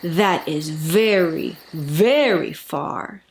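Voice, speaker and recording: A woman's voice: low-pitched, drawn-out words, creaky, slight background noise, pitched below the performer's own voice, heavily acted. A woman voicing a big, loving grown male hare, patient and tender, in a children's storybook. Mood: tender, impressed.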